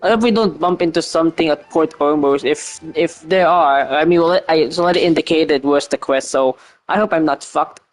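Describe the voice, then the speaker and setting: A man's voice: on the high side, moderate pace. Male streamer, casual gameplay commentary, rambling, hesitant, faint music behind. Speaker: video game streamer. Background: faint game music.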